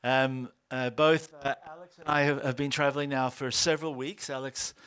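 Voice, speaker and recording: A man in his fifties with an Australian accent, a co-founder speaking at a lunch talk, halting with many fillers and restarts. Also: medium pitch, fairly even intonation, a bit bright, smooth. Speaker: organization co-founder.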